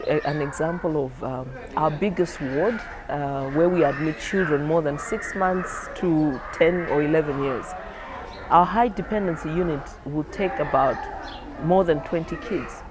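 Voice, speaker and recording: A woman's voice: deep voice, noisy background. A woman clinician speaking conversationally as she explains things for a training film.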